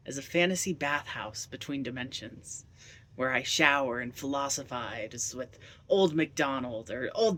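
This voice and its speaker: Slow, theatrical man reciting poetry. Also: medium pitch, bright, forceful tone, a little hiss or hum. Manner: theatrical, deliberate, whimsical delivery. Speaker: poet reading own poems.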